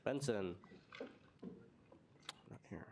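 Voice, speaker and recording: A man reading a roll call of names in a flat, routine manner. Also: fairly low pitch, extremely slow, gravelly. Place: student senate meeting room. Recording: roomy hall with noisy background.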